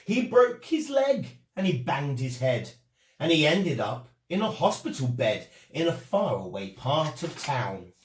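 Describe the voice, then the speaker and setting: A man narrates a rhyming children's story with great expression. His fairly high voice rises and falls widely at an unhurried pace, in an echoey room. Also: sing-song.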